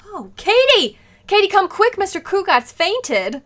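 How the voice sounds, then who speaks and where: A woman's voice: mid-range voice, lively intonation, moderate pace, close to an everyday voice. A woman playing a coy, wisecracking, scatterbrained young wife in a 1940s-style radio comedy. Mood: alarmed. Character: scatterbrained, sassy, playful 1940s sitcom wife.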